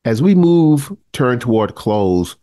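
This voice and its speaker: A man's voice: medium pitch, sing-song, slow pace, mellow, no echo, clean studio sound. A man in his sixties co-hosting a talk podcast, asking questions with teasing, playful banter. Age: 60s. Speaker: podcast co-host. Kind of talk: interviewing a guest.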